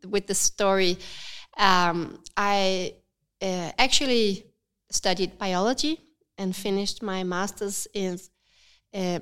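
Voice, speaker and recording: A woman with a Danish accent and a slight cold, talking thoughtfully in an interview and often pausing with fillers. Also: mid-range voice, fairly bright tone, smooth. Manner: thoughtful, hesitant with frequent fillers. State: has a cold, slightly stuffy. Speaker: cafe and yoga studio owner, guest. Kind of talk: casual interview conversation.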